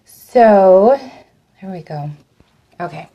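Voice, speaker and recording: Woman w/ a cold, kinda raspy, chatty live craft demo, walks thru each step, voice fades when she leans away to work. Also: mid-range voice, expressive, extremely slow, lo-fi sound. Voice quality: slightly raspy. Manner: chatty, step-by-step instructions with fillers. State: hoarse from a cold. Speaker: craft host.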